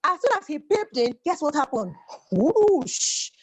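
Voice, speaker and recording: A woman's voice: sits high, sing-song, unhurried, low-quality recording. A woman telling a children's story in a theatrical way, repeating words for effect and putting questions to her listeners.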